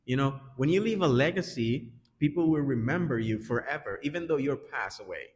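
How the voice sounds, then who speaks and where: A man's voice: medium pitch, animated, brisk, slightly rough. Echoey man with a Dominican Spanish accent. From Dominican Republic. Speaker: English teacher being interviewed. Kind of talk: conversational interview answers. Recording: echoey reverberant room.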